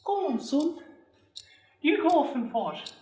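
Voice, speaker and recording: A man's voice: extremely high pitch, very animated, slow, darkish tone, pitched above the performer's own voice, full character voice. A man voicing a pushy, needling toothless old lady in a theatrical monologue, goading a lad to enlist. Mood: goading, accusing. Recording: roomy, distant microphone.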